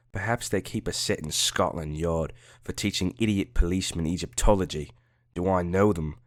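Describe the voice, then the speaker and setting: A man voicing a proud, quick-tempered Egyptologist in a dramatic audiobook, firing emphatic, scornful questions. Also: sits low, no echo, clean studio sound, voice pushed up, lightly acted.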